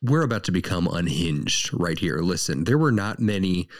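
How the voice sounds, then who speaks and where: A man's voice: deep voice, big pitch swings, normal speed. A man co-hosting a podcast in casual chat, making playful, teasing quips. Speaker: podcast co-host.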